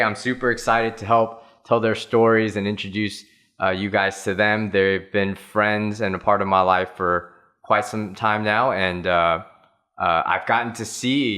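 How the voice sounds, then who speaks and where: A male podcast host introduces his guests in an easygoing, rambling way. His voice sits slightly low yet carries a bright, forward tone. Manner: friendly, rambling with many fillers. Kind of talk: casual podcast interview.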